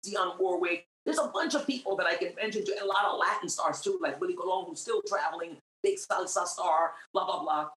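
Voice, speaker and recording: A woman's voice: on the low side, a little fast, few pauses. A middle-aged woman from New York with Puerto Rican roots, a singer giving her faith testimony as a guest, earnest and growing emphatic. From Puerto Rican, raised in New York. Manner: earnest, grows emphatic and animated. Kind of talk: faith testimony in a podcast interview. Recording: roomy, echoey sound.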